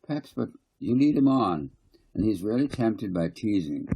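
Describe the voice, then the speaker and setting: Man reading as a gently fussing mother, raised pitch. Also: sing-song, normal speed, gravelly, a little hiss or hum, poor audio. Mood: cautioning.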